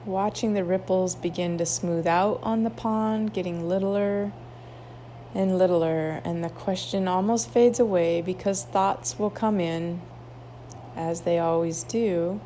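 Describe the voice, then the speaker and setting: A woman guiding a meditation, reading instructions in an unhurried way with long pauses. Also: fairly low pitch, fairly even intonation, mellow. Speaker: meditation guide at a wellness retreat. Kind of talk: guided meditation, read aloud softly. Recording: clearly noisy ambient background.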